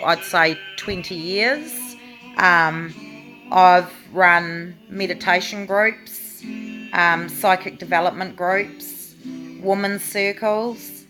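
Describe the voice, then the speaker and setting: A woman's voice: on the low side, fairly even intonation, extremely slow, pauses often, bright, forceful tone, soft background music, rough recording. A woman, talking casually to the camera in a rambling way with many fillers and restarts. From New Zealand. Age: 40s. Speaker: vlogger.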